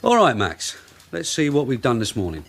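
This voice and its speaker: An actor playing a gruff, hot-tempered old-school detective sergeant in a radio drama, blunt, commanding and sardonic. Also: male voice, medium pitch, wildly swooping pitch, moderate pace, fairly bright tone, exaggerated voice. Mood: brisk, commanding.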